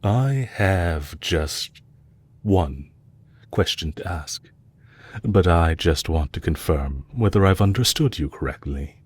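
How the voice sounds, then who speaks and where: A man playing a composed, courteous villain leader in an audio roleplay, speaking in a measured, formal way to a captive he is fond of. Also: low-pitched, animated, breathy edge, dry recording, exaggerated voice. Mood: probing, courteous.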